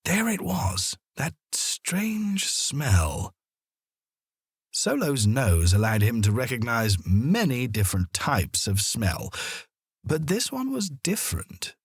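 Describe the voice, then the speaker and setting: A man narrating a children's story in a deliberate, expressive storytelling manner, as an audiobook reader. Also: medium pitch, breathy edge, no echo, studio quality.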